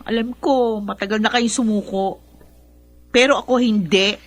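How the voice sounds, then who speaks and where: A woman's voice: medium pitch, steady tone, normal speed, lightly acted. A woman playing a stubborn, determined young adult daughter, earnest and insistent, in a radio drama. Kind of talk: Filipino radio drama acting.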